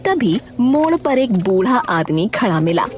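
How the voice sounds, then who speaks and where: Expressive woman narrating a story. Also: medium pitch, measured pace. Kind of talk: dramatic scripted story narration. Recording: loud background music.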